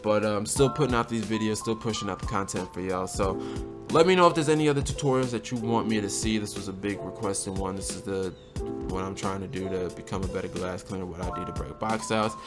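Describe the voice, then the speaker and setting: A man with a slightly hoarse voice from a cold, giving a relaxed, conversational game tutorial as a channel host. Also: on the low side, brisk. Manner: relaxed, conversational, often asks "right?". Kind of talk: casual game tutorial commentary. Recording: music playing under the voice.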